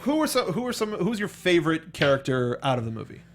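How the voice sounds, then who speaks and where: A man's voice: a little high, sing-song, fast talker, a little hiss or hum. A man chatting casually and enthusiastically as a geeky co-host of a movie review show. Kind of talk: casual fan discussion.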